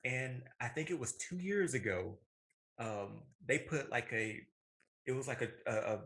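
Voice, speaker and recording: A man with a bright, forward voice and a middling pitch chats casually as a show co-host. He talks in an informal, conversational way. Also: expressive, a little slow. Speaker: co-host of a movie talk show. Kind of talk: casual chat.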